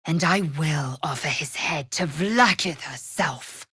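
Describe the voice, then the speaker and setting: Casting: fierce, proud female alien warrior in a fantasy game. Raspy low register, deliberate pace, stern and imperious. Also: pushed and bright, no echo, clean studio sound, full character voice. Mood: menacing. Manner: clipped, imperious, formal archaic phrasing. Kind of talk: video game character voice acting.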